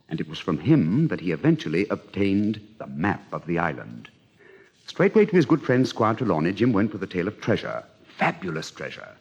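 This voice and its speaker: Male narrator: low, raspy, lively dramatic storytelling, slightly muffled vintage record. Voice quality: deep, husky. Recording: slightly dull old record.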